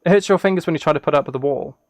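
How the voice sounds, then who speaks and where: Higher-voiced man chatting on a livestream. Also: very fast, dry recording. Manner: playful, dry mock-serious joking. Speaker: live streamer. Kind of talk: casual livestream chat while gaming.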